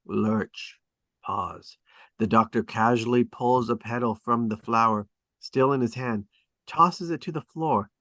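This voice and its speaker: Guy reading stage directions, mid pitched voice, super dry sound, punchy dramatic phrasing. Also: unhurried, fairly bright tone, no echo. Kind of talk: scripted dramatic narration at a table read.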